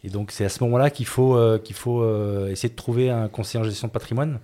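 A man's voice: mid-range voice, steady tone, fast talker, dark tone. A man hosting a podcast, asking a guest questions in a hesitant way and often searching for words. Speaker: podcast host.

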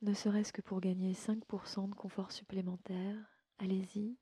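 Soft, mellow woman guiding sleep meditation. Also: medium pitch, little pitch movement, no room sound. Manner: soothing, gentle, unhurried, often breathy near-whisper. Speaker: yoga nidra guide and podcast host. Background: music.

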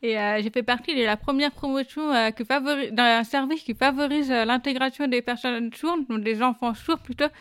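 Young woman guest, smooth clear voice, slightly high, hesitant but earnest. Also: normal speed, hardly any pauses, fairly bright tone. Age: young adult. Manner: plain, earnest, with frequent hesitations. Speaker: guest, deaf employment adviser. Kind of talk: interview answers. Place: quiet corner at a busy job fair.

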